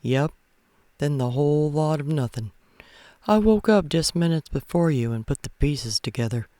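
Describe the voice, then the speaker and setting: A man's voice: fairly high pitch, lively intonation, moderate pace, dark tone, no echo, natural voice. A man voicing an earnest, devoted young cowboy groom in a romance novel. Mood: tender.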